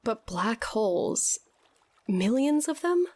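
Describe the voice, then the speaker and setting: A woman's voice: a little high, big pitch swings, slow, darkish tone, no room sound, heavily acted. An actress playing a sceptical, wisecracking young woman in a scripted audio drama, with an incredulous, slangy, joking way of talking.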